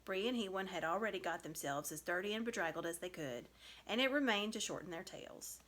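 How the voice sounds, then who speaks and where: A woman giving an expressive scripted narration of a children's story. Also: lowish voice, brisk. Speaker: storybook reader.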